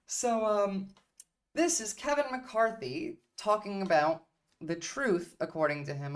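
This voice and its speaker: A woman streamer from Turkey talks politics on her livestream in a lowish voice. She is blunt and profane, hammering each point by saying it again.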